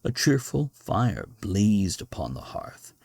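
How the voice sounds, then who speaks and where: A man with a deep, husky voice narrates a classic story in measured, deliberate phrases. His pitch moves up and down expressively as he reads, in the manner of an audiobook. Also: very animated, a little mellow, dry recording. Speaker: podcast host reading classic literature aloud. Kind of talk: audiobook-style narration of a gothic short story.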